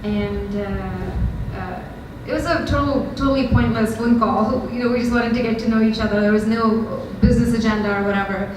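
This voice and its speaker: A woman's voice: on the high side, steady tone, normal speed, few pauses. A young woman from India with an Indian accent, giving a candid, conversational talk to a live audience with frequent ums. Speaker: startup founder giving a talk. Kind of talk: storytelling lightning talk. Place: event hall with audience. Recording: very echoey hall from far off, noisy.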